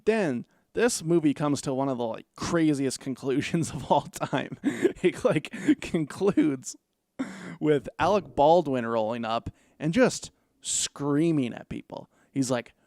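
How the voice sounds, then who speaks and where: A man's voice: a little high, expressive, slow pace, dark tone, dry recording, clean studio sound. A man hosting a casual film review, rambling and profane, with lots of "like" fillers. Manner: rambling, profane, laid-back with frequent "like" fillers.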